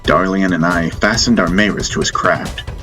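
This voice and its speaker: A man narrating a first-person fantasy audiobook in measured storytelling fashion. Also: deep voice, bright, forceful tone, soft background music.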